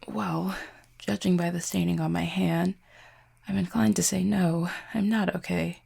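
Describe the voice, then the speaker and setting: A woman playing an injured, proud and guarded cupid in an intimate audio roleplay, speaking formally and wryly in a husky, pained voice with weary sighs. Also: on the low side, a little mellow, exaggerated voice. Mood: pained, strained. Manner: formal, wry wording with weary sighs and hesitant pauses. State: in pain, strained.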